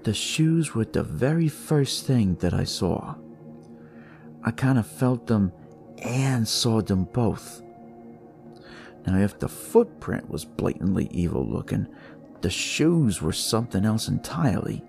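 A man's voice: lowish voice, sing-song, slow, gravelly, darkish tone, exaggerated voice. A man voicing a detective who tells his story in the first person, conversational and theatrical, as in a dramatic audio story. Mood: intrigued, sardonic. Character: male detective recounting a sinister, eerie discovery. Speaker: storyteller narrating in character. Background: music.

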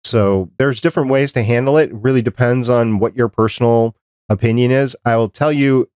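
A middle-aged man with a fairly low voice talks as a podcast host. He explains practical points plainly and conversationally, close on a desk microphone with a dry sound. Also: darkish tone, no echo. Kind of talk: conversational interview and advice. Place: small cluttered home office, video call. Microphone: desk microphone close up, headset.

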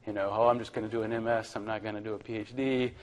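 A man in his thirties from Virginia giving a relaxed, chatty university lecture to a classroom, with plenty of ums and uhs. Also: fairly low pitch, rapid-fire, rough recording. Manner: relaxed, chatty, filler-heavy.

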